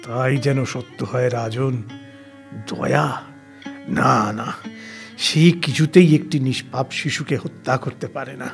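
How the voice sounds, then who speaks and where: A man's voice: medium pitch, steady tone, slow pace, gravelly, long pauses, soft background music. A man playing a distressed, pious Brahmin subject who speaks to his king in formal, archaic words in an audio story. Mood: anguished. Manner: formal, archaic, literary wording, hesitant. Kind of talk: dramatised audio story dialogue.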